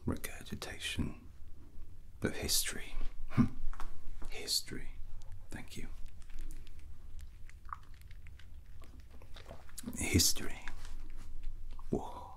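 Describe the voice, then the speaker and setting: A man playing a drunken, arrogant, brooding rogue prince in a fantasy ASMR roleplay, speaking in a breathy whisper with halting, musing phrases. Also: a bit bright. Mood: brooding, tipsy. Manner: slow, halting, musing, haughty.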